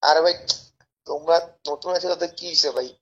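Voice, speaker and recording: A Bangladeshi man making an informal phone sales pitch in a pushy, persuasive, repetitive way. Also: fairly even intonation, fairly quick, slightly rough, dull audio. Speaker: caller pitching a service as a tout.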